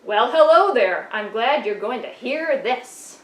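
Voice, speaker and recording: A woman's voice: on the high side, expressive, unhurried, roomy sound. A woman voicing a touchy, defensive sheep puppet in a church puppet show, protesting in a huffy way and repeating her questions. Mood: indignant.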